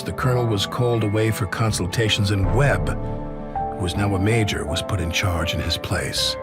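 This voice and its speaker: A man playing a soldier who narrates a war in a video game, measured, grim and reflective. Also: low-pitched, natural voice. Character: American soldier grimly recounting the war.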